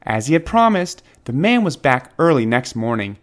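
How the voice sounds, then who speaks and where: A man reading a children's story aloud as narrator, phrasing it deliberately and expressively for young listeners. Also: mid-range voice, sing-song. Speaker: storybook reader.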